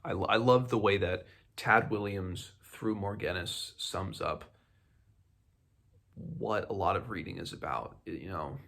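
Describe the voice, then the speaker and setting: A man with a low voice and plenty of vocal fry hosts a book read-along. His commentary is casual and conversational, often halting as he works out his thoughts aloud. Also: fairly bright tone.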